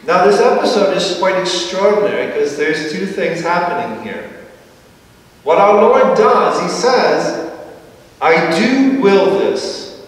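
A middle-aged man preaching a sermon from a church pulpit, explaining at an easy pace with slow, emphatic phrases and a dry touch of humor. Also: sits high. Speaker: priest. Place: large church sanctuary, at a pulpit. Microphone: far camera. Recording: echoey church from far off.

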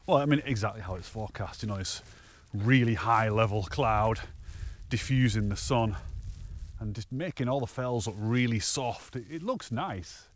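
A man's voice: on the low side, expressive, normal speed. A man, chatting cheerfully to camera while hiking on an open fell top, often laughing. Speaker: hiking vlogger. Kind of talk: casual walking commentary to camera.

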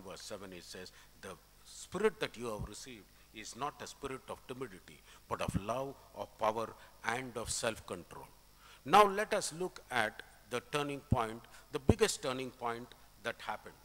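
Forceful Indian-accented male preacher in echoing hall. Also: medium pitch. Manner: emphatic, explanatory, deliberate phrasing. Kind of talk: expository sermon with scripture readings. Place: indoor church, at a pulpit. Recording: reverberant church hall sound.

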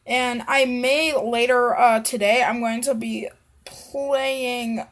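A woman's voice: a little high, fairly even intonation, takes it slowly, a bit bright. A young woman giving casual commentary as the host of a reaction video.

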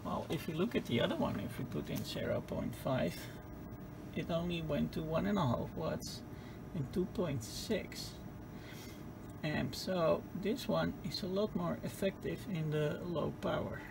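A man's voice: a little high, a little slow, gravelly. A man giving an informal, hesitant, unscripted hands-on demonstration at an indoor workbench. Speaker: host demonstrating radio equipment. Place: indoor electronics lab bench. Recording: noticeable background noise in workshop.

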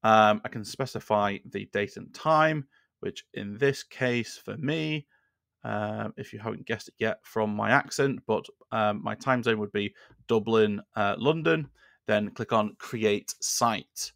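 A man in his thirties hosting a screen-share software tutorial, talking in a relaxed, conversational way with frequent fillers from a desk in a small indoor room. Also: lowish voice, unhurried, creaky, dry recording, clean studio sound. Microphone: studio microphone on a boom arm.

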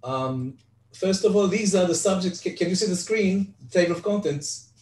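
An older Hebrew-speaking man, giving an online spreadsheet lecture from home over a headset, halting with frequent restarts. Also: high voice, darkish tone. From Israel. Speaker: guest presenter. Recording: echoey, distant video call audio.

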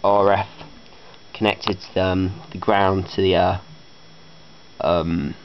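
Low, monotone man, dull lo-fi recording. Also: slow pace. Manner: hesitant, matter-of-fact, technical. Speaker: hobbyist electronics builder. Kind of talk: casual unscripted demonstration talk. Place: carpeted room indoors. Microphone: handheld camera microphone. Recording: dull lo-fi camera audio with faint noise.

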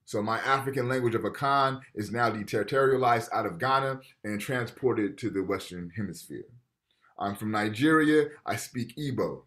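A man with a fairly low voice gives a relaxed college lecture from home over a webcam. He reads passages aloud, then breaks them down in plain, conversational talk. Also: moderate pace. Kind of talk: casual lecture over video call. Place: home room indoors. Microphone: video call webcam.

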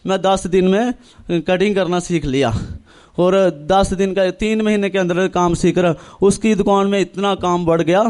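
A young man from northern India tells his religious testimony earnestly, in halting, repetitive phrases, acting out remembered dialogue. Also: high-pitched, steady tone, darkish tone. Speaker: church member giving testimony. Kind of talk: personal religious testimony, storytelling.

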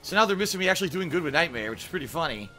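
A man's voice: on the high side, expressive, racing, over music, rough recording. A man in his thirties streaming a game from a small room on a headset mic, ranting and swearing in frustration. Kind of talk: live gameplay commentary. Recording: game sounds loud behind voice.

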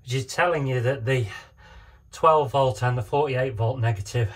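A man in his fifties filming himself in a small boat cabin on a phone, explaining a wiring job in a relaxed, hesitant way with many fillers. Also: medium pitch, moderate pace. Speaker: sailing vlogger. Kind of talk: casual how-to vlog. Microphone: handheld phone camera.

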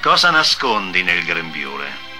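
A man's voice: low-pitched, very animated, measured pace, over music. An actor playing a stern, suspicious father in a religious cartoon, with a theatrical delivery.

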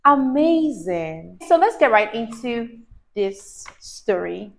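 A young woman hosts a children's story time in a high voice that rises and falls freely. She reads slowly with deliberate, teacher-like emphasis and puts questions to the young listener. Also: very animated, creaky. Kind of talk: animated read-aloud to a child. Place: small indoor room, on a sofa. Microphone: clip-on lapel mic.

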